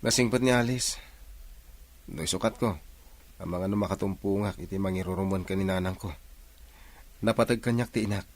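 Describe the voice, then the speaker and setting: A man's voice: low voice, brisk, pauses often, darkish tone, lightly acted. A man playing a steadfast, lovestruck young worker in a radio drama, with an earnest manner.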